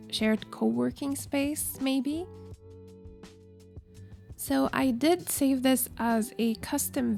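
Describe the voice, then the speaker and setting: A hoarse woman, recovering from a cold, casually narrating a game building video in a chatty, rambling way. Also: on the high side, darkish tone. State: hoarse from illness. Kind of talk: casual voiceover.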